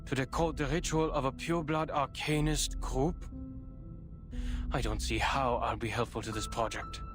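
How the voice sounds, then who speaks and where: A man's voice: medium pitch, steady tone, normal speed, lightly acted. Man playing a dry, sarcastic human man. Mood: sardonic. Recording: game audio with music behind.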